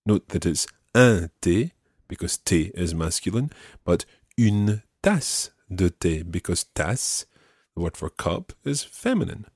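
A man teaching French, patiently explaining and repeating phrases slowly. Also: deep voice, sing-song, a little mellow, breathy edge, no room sound, clean studio sound. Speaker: language teacher.

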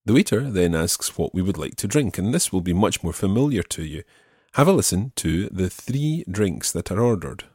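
A man with a deep, husky voice teaches French, taking his time and repeating each phrase. His voice is close and dry, with no room around it. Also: very animated, a little mellow, no room sound. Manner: patient, repeats phrases slowly. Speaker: language teacher. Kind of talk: explaining French phrases in English.

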